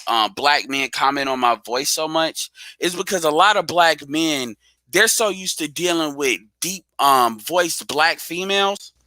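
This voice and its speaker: Blunt, bright-voiced man hosting a stream. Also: a little high, dry recording. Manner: blunt, profane, talks straight at listeners. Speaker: host of a live audio stream. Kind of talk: casual live chat.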